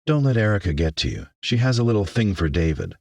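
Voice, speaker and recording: A man's voice: on the low side, very animated, quick pace, a little mellow, no room sound. A man voicing a firm, blunt woman mayor in an audiobook story, with a commanding manner. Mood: warm, easy. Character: firm, plain-spoken woman mayor of a survivor town.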